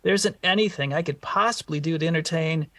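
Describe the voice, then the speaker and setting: A man's voice: a little high, a little fast, fairly bright tone, recorded from a distance, natural voice. A man playing a shy, earnest, hesitant schoolteacher in old-time radio comedy style. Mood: nervous, bashful. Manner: hesitant, bashful. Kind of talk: 1940s radio comedy acting.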